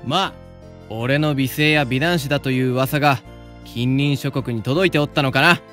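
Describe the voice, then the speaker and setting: An actor playing a confident, kindly senior samurai student with a Yamaguchi accent and old-fashioned turns of speech, in a voice drama. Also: male voice, medium pitch, normal speed, fairly bright tone, faint music behind, close to an everyday voice. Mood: affable. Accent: Yamaguchi (Choshu). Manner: old-fashioned, breezy authority. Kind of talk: anime-style voice drama.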